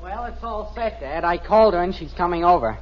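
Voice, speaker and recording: An actor playing an eager, literal-minded teenage son in a 1950s radio sitcom. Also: male voice, high-pitched, expressive, brisk. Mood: earnest. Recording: muffled, noisy vintage radio recording.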